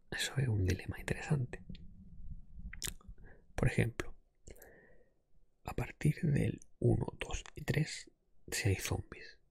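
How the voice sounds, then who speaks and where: A man hosting an ASMR podcast monologue in a hushed, breathy whisper, explaining things hesitantly. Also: medium pitch, dry recording. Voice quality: breathy, mostly unvoiced whisper. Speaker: podcast host.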